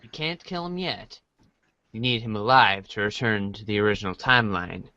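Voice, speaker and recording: A man's voice: fairly low pitch, normal speed, bright, forceful tone, muffled recording. A man reading narration aloud as a cast member on a podcast.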